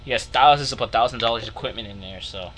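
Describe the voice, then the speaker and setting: A man's voice: on the low side, fast talker, a bit bright. A young man co-hosting a casual podcast, teasing and jokey, on a cheap microphone. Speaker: podcast co-host. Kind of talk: casual chat.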